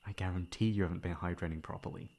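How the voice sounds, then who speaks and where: A man's voice: deep voice, steady tone, quick pace, warm tone. A man playing a sweet, teasing boyfriend, tender and playfully exasperated, in an intimate ASMR roleplay. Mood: fond teasing. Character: sweet teasing boyfriend caring for tipsy girlfriend.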